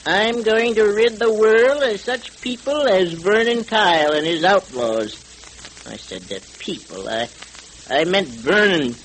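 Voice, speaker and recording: An actor playing a menacing, righteous hooded vigilante in an old radio adventure serial, speaking in deliberate, threatening declamation. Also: male voice, sits high. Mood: firm, righteous. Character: menacing hooded masked avenger, grimly righteous male vigilante. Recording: crackly old radio recording, steady hiss.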